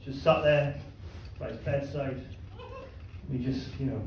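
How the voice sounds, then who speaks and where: A man doing a stand-up comedy set in a club, delivering crude deadpan punchlines with halting asides to the crowd. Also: mid-range voice, a little hiss or hum. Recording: echoey club miked from a distance. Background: audience laughter.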